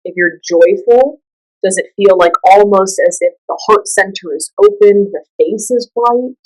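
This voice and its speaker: A woman leading a guided meditation, giving gentle instructions in a soothing, unhurried and conversational way. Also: medium pitch, rough recording.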